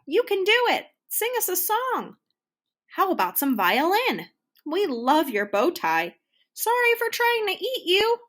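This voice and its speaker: Storybook animal onlookers female reader: encouraging, excited call-outs, pitched well up. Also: measured pace, full character voice. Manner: bright calling out, eager. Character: excited, encouraging crowd of animal audience members. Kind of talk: children's storybook read-aloud.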